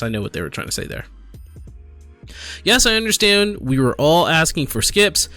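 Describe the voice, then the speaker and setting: A man with a fairly high, bright voice talks through his reactions like a gaming video creator. He is casual and halting, thinking out loud as he goes, with faint music behind him. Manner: halting, conversational, thinking aloud with repeated questions. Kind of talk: casual reaction commentary, partly reading comments aloud.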